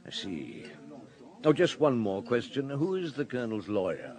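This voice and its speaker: An actor playing a calm, watchful police superintendent in a radio drama, courteous and probing. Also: male voice, medium pitch, normal speed, lightly acted. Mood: dry, probing.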